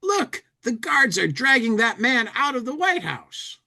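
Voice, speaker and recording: A man's voice: very high voice, very animated, measured pace, gravelly, pushed and bright. A man hosting a podcast, chatting casually and reading comic lines aloud in a playful, dramatic way. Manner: acts out speech-bubble lines playfully. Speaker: podcast host reading an old humor magazine aloud.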